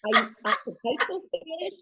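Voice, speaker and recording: A woman's voice: very high voice, sing-song, normal speed, sharp tone. A woman in her sixties, a native English speaker, tells stories casually as an interview guest, often interrupting herself and acting out quotes. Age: 60s. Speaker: interview guest, solo traveler. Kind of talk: casual conversational storytelling.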